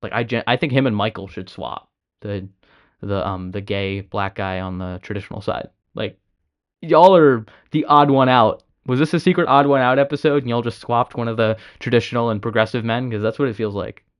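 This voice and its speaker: A man talks over a clip he is reacting to, sounding exasperated and blunt, with curse words scattered through. His pitch sits in the ordinary middle range for a man. He uses a casual, off-the-cuff commentary style. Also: brisk, smooth. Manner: exasperated, blunt, swears often. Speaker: reaction video host.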